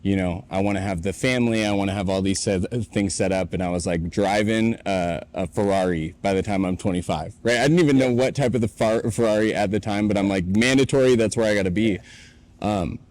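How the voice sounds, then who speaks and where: A man in his late twenties with a lowish voice speaks earnestly and reflectively in a casual podcast chat. He tells his story openly, recorded in a warehouse. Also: fairly quick, darkish tone. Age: 20s. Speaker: podcast co-host.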